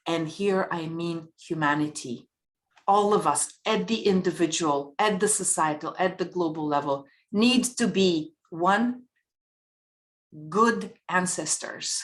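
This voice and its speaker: Earnest woman on a policy panel. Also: on the low side. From Bulgaria. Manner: earnest, measured speech with rhetorical questions. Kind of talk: panel remarks.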